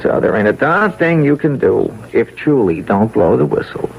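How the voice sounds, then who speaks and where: Excitable American small-time crook. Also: male voice, medium pitch, normal speed, rough recording. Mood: defiant. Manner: excitable, rough colloquial grammar, stammering when scared. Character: jittery, slangy small-time male crook. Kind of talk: vintage radio drama acting. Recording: old radio drama, faint noise.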